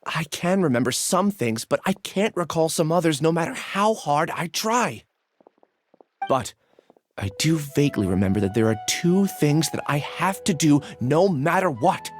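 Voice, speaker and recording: A man's voice: a little high, lively intonation, moderate pace, faint music behind, natural voice. A man playing a timid, weary, confused young man in a video game, speaking hesitantly and apologetically. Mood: searching.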